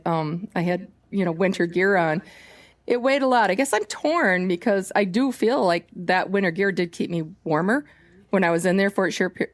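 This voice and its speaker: A woman guest in a casual interview, talking loudly and emphatically with a chatty manner. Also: fairly low pitch. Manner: loud, emphatic, chatty with laughs.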